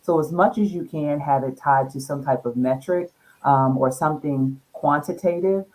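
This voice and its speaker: A woman's voice: low-pitched, moderate pace, darkish tone, rough recording. A middle-aged woman, an HR professional, giving practical advice on a panel in a direct, conversational way.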